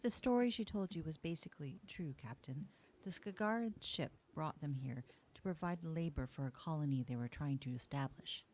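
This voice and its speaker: A woman's voice: low voice, animated, normal speed, a little mellow. A woman playing a composed, factual starship officer in amateur radio theatre, matter-of-fact in delivery. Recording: noisy track with ambience behind.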